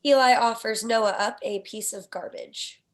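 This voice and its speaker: A woman with a fairly high voice reads screenplay stage directions. It is scripted narration for a table read, setting out scenes and action. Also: unhurried.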